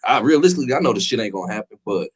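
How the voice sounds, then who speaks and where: A man's voice: on the low side, lively intonation, rapid-fire, breathy edge. A man co-hosting a casual boxing chat, rambling in a slangy way and constantly checking in with his listeners. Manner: rambling, slangy, fills with "you know what I'm saying". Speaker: podcast co-host.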